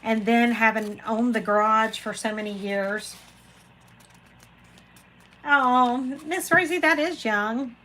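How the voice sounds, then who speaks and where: A woman's voice: fairly high pitch, slow pace, pauses often, fairly bright tone, a little hiss or hum, low-quality recording. A woman, hosting a casual live chat and rambling to viewers by name. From Florida, USA. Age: 40s. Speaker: craft channel host reading live chat.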